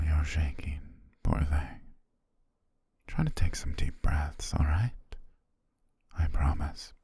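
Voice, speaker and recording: A man playing a possessive shapeshifting naga who poses as a devoted servant, speaking gently and formally in an intimate ASMR roleplay, husky, breathy and often whispering. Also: very deep voice, no echo, clean studio sound, full character voice. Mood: soothing, deferential. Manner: slow, gentle, formal, coaxing.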